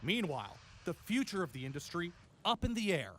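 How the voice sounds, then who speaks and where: A man's voice: sits high, sing-song, fairly quick, fairly bright tone. An American man presenting a scripted television news report, recorded with background noise.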